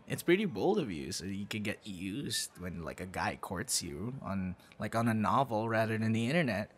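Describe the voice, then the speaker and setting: A man's voice: on the low side, animated, normal speed, a little hiss or hum, lightly acted. A man acting as a friendly, teasing and supportive young would-be boyfriend in an ASMR audio roleplay, speaking conversationally and reassuringly. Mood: playful, teasing.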